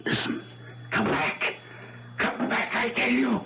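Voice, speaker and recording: An actor playing a shrewd, imperious detective with precise formal diction in a 1970s radio mystery. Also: male voice, extremely high pitch, slow. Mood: tense, urgent. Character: shrewd, commanding male detective with a gallant streak. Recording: dull, noisy old radio broadcast.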